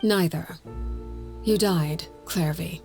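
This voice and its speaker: An actress playing a stern, composed head of a household in a video game, speaking in a measured, formal way. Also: female voice, fairly low pitch, vocal fry, music in the background. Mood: solemn. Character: stern, composed female head of an orphanage house, cold authority.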